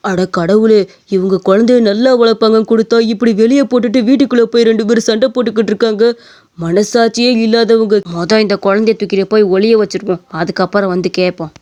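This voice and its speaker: A man's voice: high-pitched, fairly even intonation, fast, a little mellow, natural voice. A man playing an authoritative, moralising village headman in a cartoon story. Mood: indignant. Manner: lecturing, emphatic.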